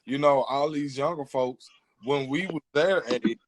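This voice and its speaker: A man's voice: medium pitch, a little slow, crowd noise, rough recording. Earnest man hosting a casual podcast. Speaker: podcast host. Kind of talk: casual opinionated podcast talk.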